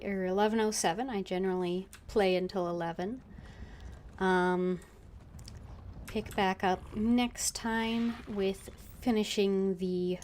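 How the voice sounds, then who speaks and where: A woman game streamer talks casually and at a slow pace, with medium pitch. Clear background noise and game ambience sit behind her voice. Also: a little mellow. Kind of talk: casual livestream commentary.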